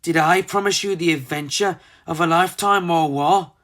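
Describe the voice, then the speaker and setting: A storybook narrator voicing a cheeky, excitable young girl chicken with a chummy manner. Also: medium pitch, moderate pace, natural voice. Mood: excited.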